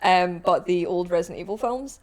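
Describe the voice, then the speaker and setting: A woman's voice: lowish voice, brisk, darkish tone. A woman co-hosting a podcast in casual panel chat with fellow arcade staff. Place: arcade shop, indoors.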